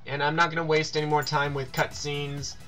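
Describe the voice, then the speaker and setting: A man's voice: a little high, level tone, fairly quick, bright, forceful tone, faint music behind. A man doing casual game commentary, reading on-screen text aloud and stumbling over words now and then.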